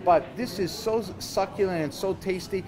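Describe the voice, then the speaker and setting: A man in his fifties, a chef being interviewed, explaining things conversationally and haltingly, often repeating words. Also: high voice, a crowd in the background, poor audio. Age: 50s. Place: store counter indoors.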